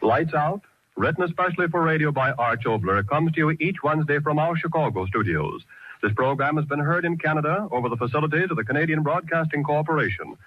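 Brisk, husky male radio announcer. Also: fairly high pitch, expressive, no echo. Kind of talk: old-time radio announcing. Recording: dull, lo-fi vintage radio recording.

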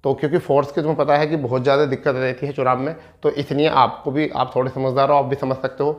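Guy in his 30s teaching at a board, mid pitch, repeats stuff and keeps checking you follow. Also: level tone, quick pace, muffled recording. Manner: repetitive, explanatory, with frequent check-in tags. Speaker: exam coaching teacher. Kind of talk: informal instructional explanation at a board. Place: indoor studio in front of a digital board. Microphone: clip-on lapel mic.

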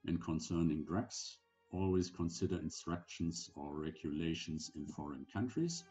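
Male, around 70, deep and mellow. Instructional presenter, deliberate phrasing. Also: expressive, faint music behind. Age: older, around 70. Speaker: presenter giving medical advice for sailors. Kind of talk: slide presentation lecture.

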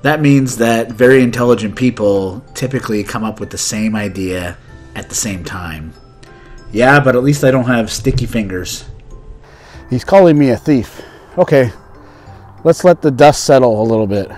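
A man's voice: medium pitch, normal speed, darkish tone, faint music behind. A man in his thirties hosting an English lesson, acting out conversational skit lines and explaining idioms as a teacher. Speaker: English teacher and video host.

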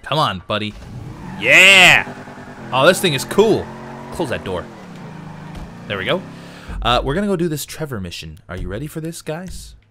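Lively, bright male game commentator, slightly nasal from a cold, excitable. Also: medium pitch, moderate pace, long pauses, a little hiss or hum. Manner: chatty, excitable, talks to viewers. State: has a cold, a bit nasal. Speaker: let's play host. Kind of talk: casual gameplay commentary.

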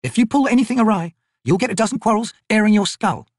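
A narrator playing a gruff, sharp-tongued woman corporal in an audiobook, suspicious and drawling. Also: medium pitch, lively intonation, fast talker, dry recording, clean studio sound, pitched above the performer's own voice, close to an everyday voice. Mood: curt, suspicious. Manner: drawling, snapping, sneering.

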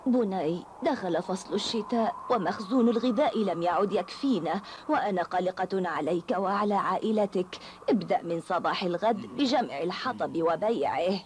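Elderly cartoon peasant mother played by a woman, gentle, worried, motherly, medium pitch, faint noise. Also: natural voice. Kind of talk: cartoon dub acting.

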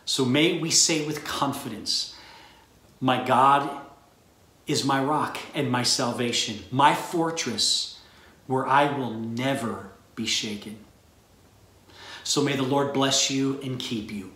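A middle-aged man, a pastor, giving a recorded sermon to graduates in an earnest, measured way from a small room. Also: medium pitch, sharp tone, echoey room. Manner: earnest, measured preaching delivery. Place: small room with a bookshelf, indoors.

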